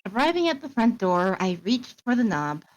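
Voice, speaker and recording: A woman streamer reading a visual novel aloud and chatting, with a measured, explanatory way of talking. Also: on the high side, big pitch swings, slight background noise, rough recording. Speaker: streamer and narrator. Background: background music.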